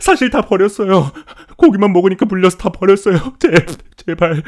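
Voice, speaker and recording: Man acting a frantic, high-strained begging captive. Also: lively intonation, moderate pace. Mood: terrified pleading. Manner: pleading, frantic, loud. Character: terrified male captive desperately begging for his life. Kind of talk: horror audio drama acting.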